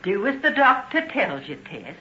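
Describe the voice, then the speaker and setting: A woman's voice: mid-range voice, sing-song, moderate pace, sharp tone, slight background noise. An actress playing a humble working-class mother in an old-time radio drama, deferential, garrulous and pleading, with folksy turns of phrase. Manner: deferential, tearful, folksy wording. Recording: dull vintage radio recording.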